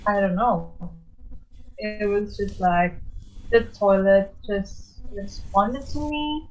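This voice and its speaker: A woman's voice: medium pitch, slow pace, a little hiss or hum, natural voice, low-quality recording. A woman playing a naive, bewildered teenage demigod boy in an amateur table read. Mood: confused.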